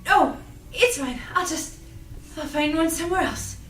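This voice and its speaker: A woman's voice: extremely high pitch, a little slow, a bit bright, recorded from a distance. A woman playing a frightened but defiant young rebel on the run, in a dramatic script reading. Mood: anxious, polite. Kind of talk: dramatic script table read. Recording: echoey room, off mic, music added.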